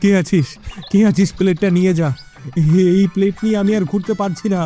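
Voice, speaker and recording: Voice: medium pitch, steady tone, brisk, mellow, soft background music, close to an everyday voice. A performer voicing a distraught, whining villager in a cartoon, wailing in repeated phrases. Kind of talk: cartoon voice acting.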